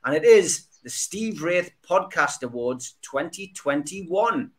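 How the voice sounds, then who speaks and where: A man hosts a casual podcast, his voice sitting a little high for a man. He talks in a jokey, chatty way and reads viewer comments aloud. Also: expressive, takes it slowly. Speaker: podcast host. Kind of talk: casual chat.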